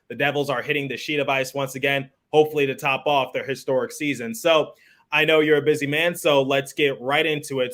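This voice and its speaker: A man's voice: medium pitch, a bit bright. A man hosting a sports podcast, introducing and interviewing in an upbeat style. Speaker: podcast host.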